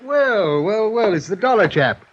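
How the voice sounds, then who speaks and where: A man acting as a breezy, pompous English gentleman with an English accent in an old radio crime drama. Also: high voice, expressive, unhurried, a little hiss or hum. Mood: jovial, breezy. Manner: hearty, pompous, blustering. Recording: muffled, lo-fi old radio recording.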